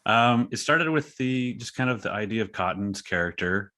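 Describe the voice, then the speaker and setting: Male interview guest, very low register, forward bright tone, casual and halting delivery. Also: animated. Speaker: guest, creator of a horror thriller story.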